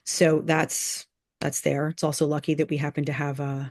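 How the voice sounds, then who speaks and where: A woman giving informal remarks at a meeting as a school board chair, thinking aloud in a halting way and repeating phrases, on a video call. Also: low-pitched, level tone, darkish tone.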